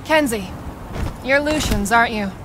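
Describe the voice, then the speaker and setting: A woman's voice: on the high side, normal speed, background noise, lightly acted. An actress playing a sardonic, defiant young anarchist in a video game, blunt and scornful in how she talks. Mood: wary. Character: defiant, sardonic young anarchist woman guarding her homeland. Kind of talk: video game cutscene acting. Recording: loud music under the dialogue. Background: game music.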